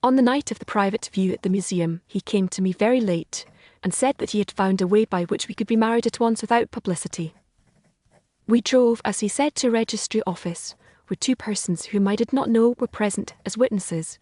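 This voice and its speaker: A woman's voice: mid-range voice, a little fast, mellow, dry recording, natural voice. Woman acting a sad refined young governess, victorian audiobook drama, earnest n formal, dry sound. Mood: confiding, sorrowful.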